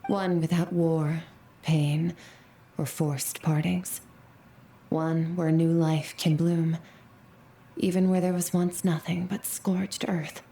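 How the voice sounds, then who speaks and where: A woman voicing a reserved, powerful swordswoman in a video game, speaking in a measured, solemn way. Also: deep voice, flat delivery, slight background noise. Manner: measured, solemn, soft-spoken. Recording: game audio, roomy with faint ambience.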